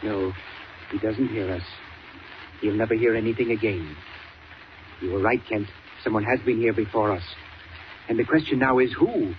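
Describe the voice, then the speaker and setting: An actor playing a fussy, genteel older gentleman with a pompous, wordy manner in a 1940s radio serial. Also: on the low side, moderate pace, pauses often, lightly acted. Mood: grave. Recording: noisy, dull old radio transcription.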